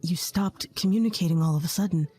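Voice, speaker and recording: A woman voicing a remorseful young husband in a narrated story, speaking in a lower, apologetic way. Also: quick pace, warm tone, faint music behind, close to an everyday voice. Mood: earnest.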